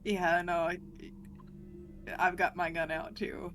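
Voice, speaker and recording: A woman's voice: fairly low pitch, flat delivery, slow pace, a bit bright. A woman chatting casually as a player in a tabletop roleplaying game.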